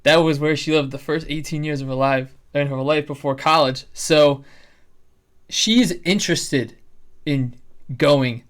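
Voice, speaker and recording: A man's voice: fairly high pitch, unhurried. A young man chatting earnestly on a podcast, often restarting his sentences as he talks. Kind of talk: casual podcast conversation.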